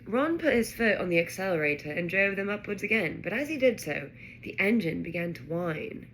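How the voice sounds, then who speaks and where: A woman reading a children's novel aloud in an expressive, dramatic narrating style. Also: medium pitch, brisk. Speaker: storybook reader. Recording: faint background noise.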